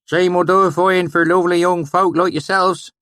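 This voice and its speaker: A loud, rustic old swineherd. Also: male voice, flat delivery, fairly quick, dry recording, studio quality. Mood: hearty. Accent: thick rural backwoods dialect. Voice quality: shouting, hearty, high-pitched. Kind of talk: audiobook character voice.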